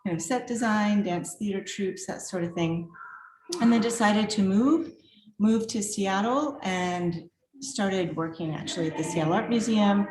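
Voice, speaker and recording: A woman's voice: mid-range voice, unhurried, a little mellow, reverberant, slight background noise, low-quality recording. A middle-aged woman from the former Czechoslovakia, an art professor, introducing herself in casual, upbeat chat and laughing often. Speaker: guest artist and art professor. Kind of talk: casual self-introduction at a virtual reception.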